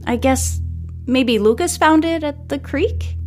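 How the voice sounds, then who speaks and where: Woman as breezy young storybook girl, adventurous, light delivery, higher register, music underneath. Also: takes it slowly, darkish tone. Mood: puzzled, hesitant.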